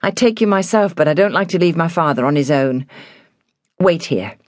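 An anxious young gentlewoman taking charge , played by a woman reader in a novel. She is brisk and well-spoken with worry in her voice, recorded very dry and close. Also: low-pitched, expressive, no room sound. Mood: decisive, worried.

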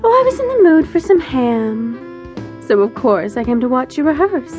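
A woman's voice: sits high, very animated, moderate pace, music in the background. A woman playing a smug, catty rival actress in an audio drama, with a mocking, theatrical manner.

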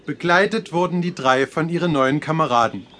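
A man narrating a children's audio story in a measured, scripted storytelling style. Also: a little high, pushed and bright, a little hiss or hum.

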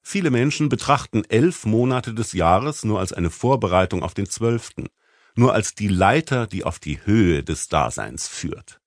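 Deep-voiced man narrating with expressive range. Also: unhurried, no room sound, studio quality. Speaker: audiobook narrator. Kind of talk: scripted literary narration.

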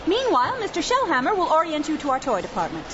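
A woman's voice: high voice, wildly swooping pitch, fast. An actress playing a practical, weary working mother and manager in an audio drama. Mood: brisk, firm. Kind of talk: full-cast audio drama acting. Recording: muffled, slightly noisy recording.

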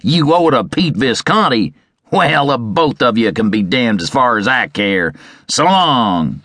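A man voicing a bullying, sneering outlaw in a Western story, with taunting, rough frontier talk. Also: medium pitch, lively intonation, moderate pace, sharp tone, dry recording, clean studio sound, voice pushed up. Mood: scornful. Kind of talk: Western audiobook character.

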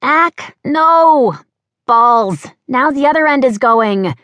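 A woman's voice: medium pitch, expressive, moderate pace, a bit bright, dry recording, voice pushed up, close to an everyday voice. A woman voicing a clumsy, bubbly young American woman with an American accent who rambles on breathlessly. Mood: flustered.

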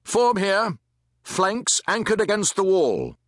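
A man's voice: sits high, huge pitch swings, slow, dry recording, clean studio sound, voice pushed up, heavily acted. Man playing commanding army captain, raised voice. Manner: authoritative, formal orders. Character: commanding, resolute male British army captain.

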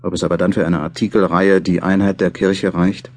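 A man's voice: deep voice, level tone, fairly quick, a little mellow. A man playing a thoughtful, mildly doubtful journalist who muses and questions himself over his diary in a German radio play. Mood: musing. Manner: musing, self-questioning.

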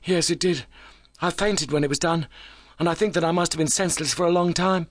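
Guy doing an overwrought young wounded engineer for an audiobook, voice way up high, earnest and polite. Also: fairly quick, no room sound, natural voice. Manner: excitable, earnest, well-mannered. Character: young injured male engineer, overwrought but plucky. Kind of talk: audiobook character voice.

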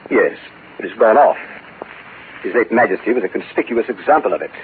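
An actor playing a brusque, dryly witty English court lord in 1930s radio drama style, pompous and emphatic. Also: male voice, lowish voice, lively intonation, a little fast, lightly acted. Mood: wry, gossiping. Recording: scratchy, tinny 1930s radio recording with hiss.

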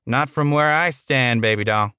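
A man's voice: medium pitch, moderate pace, bright, forceful tone, clean tone, no room sound, clean studio sound, pitched above the performer's own voice, natural voice. A man voicing a short, sleazy middle-aged office boss in an audiobook, smug, patronizing and blustering. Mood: leering.

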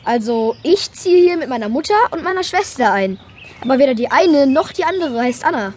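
A woman's voice: sits high, animated, brisk, a little mellow, a little hiss or hum. An actress playing a tiny, cheeky, confident young boy in a children's radio play, chirpy and showy in manner. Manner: chirpy, self-assured, showy.

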